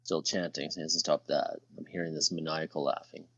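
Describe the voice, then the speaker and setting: A man playing a tabletop game, talking casually and thinking aloud in a deliberate way. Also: a bass voice, level tone, a bit bright, dry recording.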